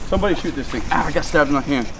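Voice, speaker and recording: A young man hosting an outdoor fishing vlog by a wetland canal, talking excitedly to the camera and shouting exclamations. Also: fairly high pitch, very fast, far from the mic, heavy background noise. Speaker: fishing channel host. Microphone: camera mic from a distance.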